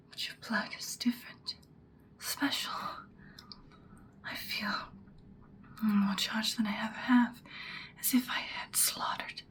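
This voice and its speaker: An ancient female vampire, performed by a woman speaks in a close, breathy ASMR roleplay whisper. Her delivery is very slow and deliberate, with dramatic weight on her words. She comes across as seductive and imperious, menacing yet protective. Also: a little high, bright, forceful tone. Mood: hungry, intense.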